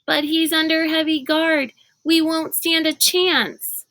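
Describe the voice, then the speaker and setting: A woman's voice: sits high, flat delivery, slow pace, a bit bright, dry recording, pitched above the performer's own voice. A woman voicing a cautious, earnest young boy in a children's storybook, explaining things in a worried way. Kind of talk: children's storybook read-aloud.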